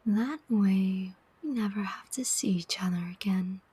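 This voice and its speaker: A woman's voice: mid-range voice, warm tone, no echo. A woman voicing a cunning, taunting female demon collector of souls in a whispered ASMR roleplay. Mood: sly bargaining. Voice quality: breathy whisper. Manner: slow, deliberate, taunting.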